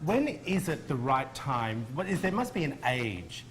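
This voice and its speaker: A man's voice: medium pitch, big pitch swings, a little slow, a bit bright. Guy hosting a midday tv show, mid voice, chatty and upbeat. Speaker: TV host. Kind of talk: TV interview.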